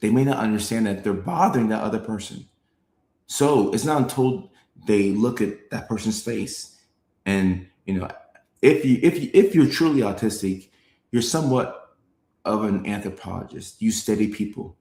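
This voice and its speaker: A man's voice: lowish voice, moderate pace, roomy sound. A man who grew up in Norway, hosting a show and explaining things in casual talk, acting out quoted voices and checking in with his listeners. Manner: animated, acts out quoted voices, repeats phrases, checks in with "right?". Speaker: show host. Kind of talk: casual explanatory talk, reading chat comments.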